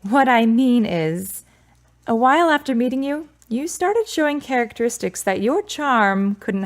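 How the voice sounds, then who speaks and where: A woman's voice: mid-range voice, close to an everyday voice. An actress as a frank, self-assured woman. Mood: wry, teasing. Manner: articulate, wordy, wry. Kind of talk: radio drama dialogue.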